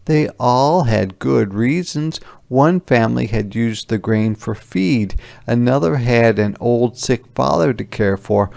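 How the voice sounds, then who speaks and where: A man narrating a children's story in a deliberate, clearly enunciated read-aloud. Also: mid-range voice, animated, a little mellow, dry recording. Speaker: storyteller reading a picture book aloud.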